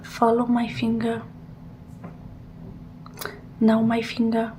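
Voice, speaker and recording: A woman doing an ASMR roleplay as a technician, speaking softly and gently in murmured reassurances and instructions, often slipping into a breathy whisper. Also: a little high, completely flat delivery, slow, a little hiss or hum, rough recording. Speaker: ASMR roleplayer playing a technician who examines a robot. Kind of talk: ASMR roleplay, soft personal attention.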